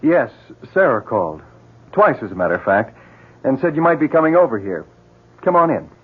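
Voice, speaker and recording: A steady, reassuring friend in a 1970s radio play. His husky voice is conversational and varied in pitch. It comes through muffled, like a vintage broadcast. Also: brisk. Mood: welcoming. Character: calm, reassuring, friendly male family friend. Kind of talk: 1970s radio drama acting.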